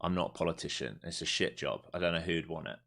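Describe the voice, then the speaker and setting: A man's voice: low voice, quick pace, vocal fry, fairly bright tone. A man, chatting casually and bluntly while trading live on stream. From Europe. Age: 40s. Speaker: livestream day trader. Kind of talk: live market commentary and chat.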